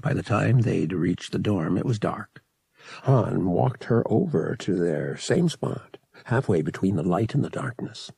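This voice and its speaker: A male audiobook narrator reads dramatic fiction. His voice is gravelly and rasping, sitting fairly low. He takes his time and shapes each phrase expressively, in a dry, close acoustic. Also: animated, measured pace, dark tone, no echo.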